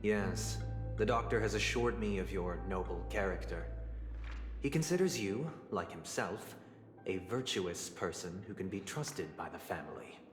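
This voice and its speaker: An actor playing a composed, stern nobleman in a video game, speaking formally and with measured, polite condescension. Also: low-pitched, expressive. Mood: measured, probing. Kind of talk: scripted video game dialogue acting. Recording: echoey hall reverb under loud music.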